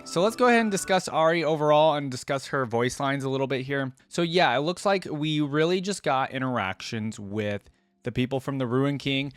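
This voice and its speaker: Casual guy thinking out loud, dry sound. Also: medium pitch, no echo. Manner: rambling, thinks aloud, repeats "like" and "you know". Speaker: reactor, video host. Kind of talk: casual reaction commentary.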